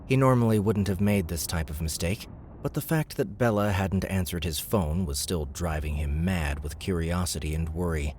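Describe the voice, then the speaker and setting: A man's voice: low-pitched, normal speed, darkish tone, a little hiss or hum. A man narrating scripted fantasy fiction as an audiobook reader.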